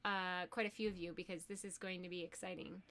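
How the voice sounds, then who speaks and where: A woman's voice: on the low side, steady tone, fast talker, fairly bright tone, a little hiss or hum. Bubbly woman co-hosting a livestream. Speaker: co-host of a family vlog livestream. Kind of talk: livestream chat.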